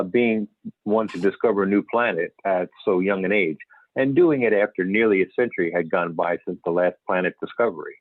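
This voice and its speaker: A man's voice: on the low side, dry recording, close to an everyday voice. A man playing an older, folksy and candid astronomer turned UFO investigator, telling his story in an amateur readers theater over a call. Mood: reminiscing. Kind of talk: amateur readers theater, scripted interview reminiscence. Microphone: phone or videoconference call. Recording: band-limited phone-like conference call audio.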